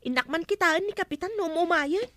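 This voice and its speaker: A woman with an Ilocano accent voicing a squeaky young village hall helper who tattles, in a comedy radio drama. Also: expressive, brisk, fairly bright tone. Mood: tattling.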